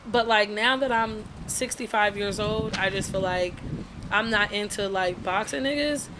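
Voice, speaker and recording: A woman in her twenties co-hosting a podcast, talking in animated, teasing casual banter and laughing often. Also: medium pitch, unhurried, noisy background. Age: 20s. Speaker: podcast co-host.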